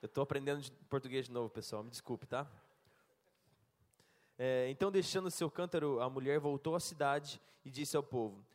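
A man interprets a sermon phrase by phrase and reads scripture aloud, often stopping to correct a word. His voice is fairly high for a man. The long reverb of a church hall hangs behind him. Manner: halting, self-correcting, laughs at slips.

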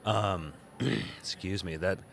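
Deep-voiced man, casual podcast chat. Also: takes it slowly, slight background noise. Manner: relaxed, chatty with fillers and restarts. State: voice a bit worn, deep. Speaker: podcast co-host. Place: outdoor courtyard at a convention.

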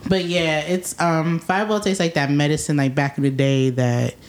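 Woman podcast host, congested, low voice, casual slangy chat, echoey room. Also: fairly even intonation, normal speed. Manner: casual, laughs often, slangy. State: congested from a cold.

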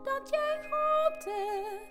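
A woman singing slowly in a theatrical, lullaby-like style. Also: extremely high pitch, a bit bright. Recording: music behind the singing.

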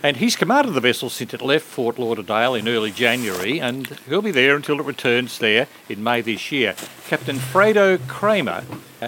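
Lively male announcer introducing a segment. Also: mid-range voice, slight background noise, low-quality recording. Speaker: radio announcer. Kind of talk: scripted introduction.

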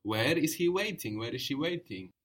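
A man leads a spoken language lesson at a patient, steady pace. He poses short prompts and answers each attempt with quick praise. His voice sits at an ordinary middle pitch. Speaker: language teacher.

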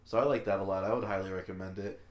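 A man's voice: deep voice, steady tone, very fast. A young man co-hosting a casual podcast, rambling, teasing and laughing often. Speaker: podcast co-host. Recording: echoey room.

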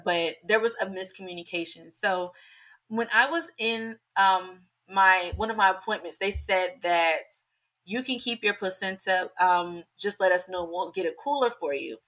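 Young woman, bright slightly hoarse voice, chatty and animated, lots of "like". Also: medium pitch, moderate pace, poor audio. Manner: chatty, animated, many fillers. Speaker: podcast guest. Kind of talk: casual storytelling.

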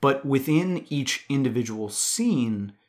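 A man with a mid-pitched voice speaks as a podcast co-host in a casual film discussion. He reasons things out analytically and drops swear words as he goes. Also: lively intonation, takes it slowly.

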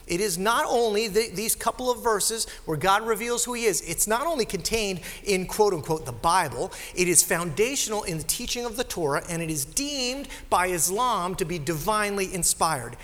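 Nasal, bright-voiced preaching man. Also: high voice. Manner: conversational, animated preaching with asides. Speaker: pastor. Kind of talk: sermon. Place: church sanctuary. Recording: live sermon in a reverberant hall.

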